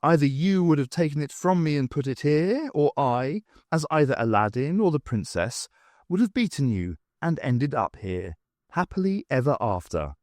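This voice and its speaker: Guy doing a clever adventurer woman, lifted lively pitch, brisk and explanatory, audiobook reading. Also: no echo, clean studio sound. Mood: explaining. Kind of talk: audiobook character voice.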